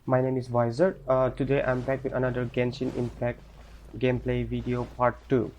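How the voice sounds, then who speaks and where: A man's voice: medium pitch, completely flat delivery, normal speed, a little mellow, a little hiss or hum. A man introducing a video as a gaming channel host, in a hesitant manner.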